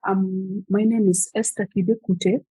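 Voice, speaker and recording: A woman's voice: mid-range voice, little pitch movement, mellow, slightly rough, no room sound, rough recording. A young woman in her twenties from South Sudan, a footballer answering interview questions with frequent fillers and restarts. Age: 20s. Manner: halting, many fillers and restarts. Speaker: guest, footballer.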